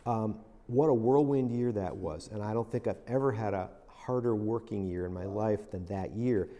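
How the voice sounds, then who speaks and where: Reflective middle-aged man with a dark voice. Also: lowish voice, moderate pace, long reverb tail, highs cut off. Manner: reflective, rambling, with many restarts. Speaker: interview guest, business veteran. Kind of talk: storytelling in a casual interview.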